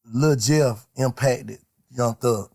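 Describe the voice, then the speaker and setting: A man with an American accent and a gravelly, husky voice, chatting casually and reflectively as an interviewer. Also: medium pitch, slow pace, darkish tone.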